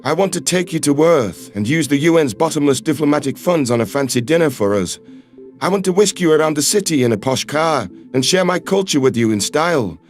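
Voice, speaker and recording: A man's voice: on the high side, animated, fairly quick, soft background music, pitched below the performer's own voice, close to an everyday voice. A man voicing an earnest, lovestruck human ambassador in a sci-fi audio drama, speaking in a heartfelt, pleading way. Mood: pleading, romantic. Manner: pleading, heartfelt, occasional swearing.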